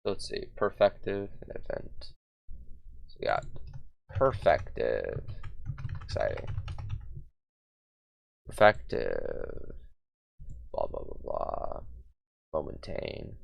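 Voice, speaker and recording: A man's voice: very deep voice, huge pitch swings, slow pace, vocal fry, pauses often. A young man streaming casually, thinking aloud, reading notes half under his breath and mocking himself, as he says he is losing his voice. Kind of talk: casual livestream, thinking aloud while building a conlang.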